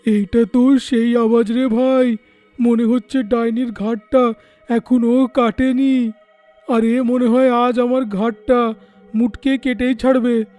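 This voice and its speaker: A man's voice: extremely high pitch, level tone, takes it slowly, warm tone, faint music behind. A man voicing a simple, fretful village craftsman in a cartoon story, speaking emphatically and colloquially. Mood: worried. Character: simple, excitable village idol-maker husband.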